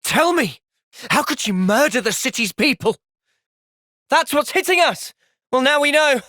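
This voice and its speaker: A young man acting an earnest, thoughtful soldier hero in a video game cutscene, speaking in a measured, sincere way. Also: very high voice, animated, bright, forceful tone, no echo, clean studio sound, full character voice. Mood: urgent, intense.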